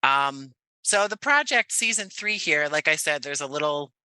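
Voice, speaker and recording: Bright, forceful American man in conversation. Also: fairly high pitch, animated, normal speed, clear, resonant tone, dry recording, poor audio. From Jersey City, New Jersey, United States. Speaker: interview guest, playwright and actor. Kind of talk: conversational podcast interview.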